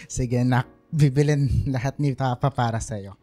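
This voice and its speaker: A man's voice: medium pitch, fairly even intonation, a little slow, mellow, natural voice. A man with a Filipino accent playing a teasing, generous father of two in a radio drama. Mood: fond. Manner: playful, affectionate teasing. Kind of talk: radio drama acting in casual family talk. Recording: music bed under the voice.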